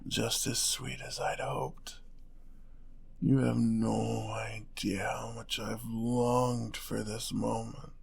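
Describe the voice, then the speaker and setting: A man voicing a centuries-old, sadistic and possessive vampire lord in an ASMR audio roleplay, with a breathy voice, menacing and condescending in his endearments. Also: medium pitch, wildly swooping pitch, slow pace, full character voice. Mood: gloating, intimate. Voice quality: breathy, often dropping toward a whisper.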